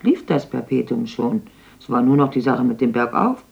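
A shrewd old village haggler with a gravelly, creaky voice, rambling from one notion to the next. The radio play recording sounds dull and lo-fi. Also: male voice, mid-range voice, lively intonation, moderate pace. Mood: haggling. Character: sly old village man haggling over flour.

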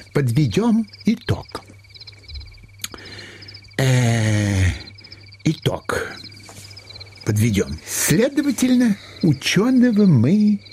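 An actor playing an anxious, fussy finance minister obsessed with money, halting and theatrical, sliding between whimper and grumble. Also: male voice, high voice, darkish tone, slight background noise, exaggerated voice. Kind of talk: Russian stage-comedy radio acting.

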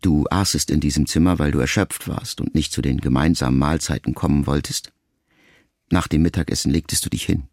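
A man acting in a radio play as a grown son addressing his mother in a sombre, tender monologue, measured and deliberate. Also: rumbling low, a little mellow, no room sound, clean studio sound. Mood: reflective, pained.

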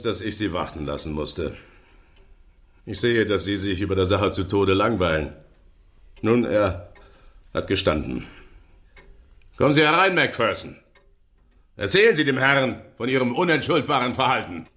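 An actor playing an officious police inspector in a radio drama, formal, stern yet courteous. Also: male voice, fairly low pitch, very animated, normal speed, pauses often, a bit bright. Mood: apologetic, satisfied.

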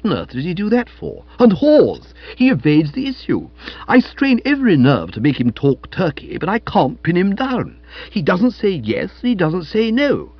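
Male reader as blustering older English aunt, audiobook comedy; emphatic, slangy, very animated delivery, slightly dull recording. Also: fairly high pitch, quick pace, gravelly, hardly any pauses, no echo, voice pushed up, exaggerated voice. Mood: exasperated, explosive. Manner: emphatic, slangy, scolding upper-class talk. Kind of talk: audiobook character voice.

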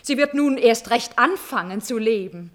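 Scornful, high-voiced betrayed countess. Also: female voice, animated, a bit bright. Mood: cutting, ominous. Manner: theatrical, emphatic, biting irony with drawn-out repetitions. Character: scorned countess, bitter, mocking, passionately vengeful. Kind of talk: classical German stage tragedy as radio drama.

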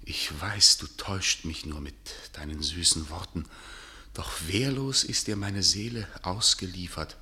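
Exasperated middle-aged playwright in a radio play, deep voice, really intense and bossy, stagey delivery. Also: male voice, a little slow, pushed and bright, heavily acted. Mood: anguished, declaiming. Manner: commanding, declamatory. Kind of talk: theatrical radio drama acting.

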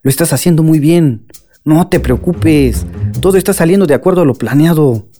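An actor playing a calm, scheming man in a radio drama, placating and dismissive. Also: fairly high pitch, normal speed, soft background music, lightly acted. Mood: coolly reassuring. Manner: smooth-talking, placating. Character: calm scheming male accomplice, falsely reassuring, dismissive.

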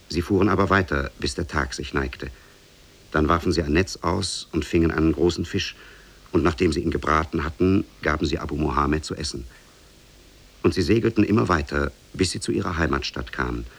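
An actor as the grave storyteller of an Arabian tale, narrating formally and evenly for a 1950s radio drama. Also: male voice, sits low, vocal fry, husky, exaggerated voice. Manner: measured, formal.

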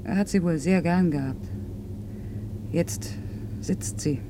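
A proud, guarded factory worker in a radio drama. She speaks tersely and independently, with clear vehicle noise behind her voice. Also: fairly low pitch, slow pace. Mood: guarded, resolute. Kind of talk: German radio drama dialogue.

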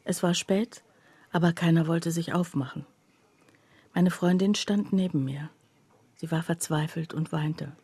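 Mellow, measured radio play narrator. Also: female voice, lowish voice, fairly even intonation, breathy edge, no room sound. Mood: reflective. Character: reflective first-person narrator recalling strange events. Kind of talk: literary first-person radio play narration.

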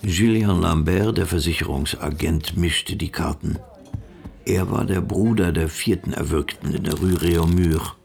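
A man's voice: deep voice, a little slow, vocal fry, darkish tone. A man narrating a radio drama from a script as the storyteller.

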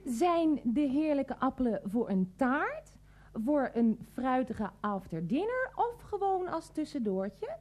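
High-voiced, pedantic woman greengrocer. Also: very animated, slow, darkish tone, exaggerated voice. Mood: prim, insistent. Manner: verbose, formal, lecturing. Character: pedantic, insistent greengrocer saleswoman who moralizes at customers. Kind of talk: comic radio play acting.

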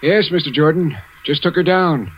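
Casting: plain-spoken elevator operator, deferential, fairly high voice, dull old radio sound. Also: male voice, moderate pace, slightly rough. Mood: helpful, matter-of-fact. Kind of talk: 1940s radio drama acting. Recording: dull 1940s radio recording.

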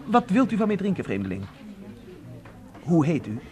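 A man acting in a radio drama as an intense, obsessed young man with a halting, insistent way of talking. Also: sits high, huge pitch swings, darkish tone. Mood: insistent, intrigued.

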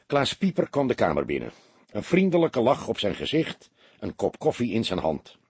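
A man narrating a crime audiobook in an expressive, scripted storytelling style. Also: mid-range voice, normal speed, slightly rough, no echo.

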